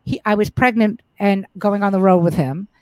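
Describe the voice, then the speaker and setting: A woman's voice: medium pitch, normal speed, a little mellow, dry recording. A middle-aged woman comedian telling stories from her life in a casual interview, frank and profane, often restarting her sentences. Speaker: comedian guest.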